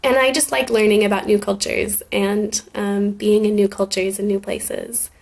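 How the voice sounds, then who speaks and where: A young woman with an American accent, raised in Scotland and Germany, answering questions for an English class in a relaxed, thoughtful way, with frequent ums. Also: mid-range voice, normal speed, vocal fry. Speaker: guest answering student questions.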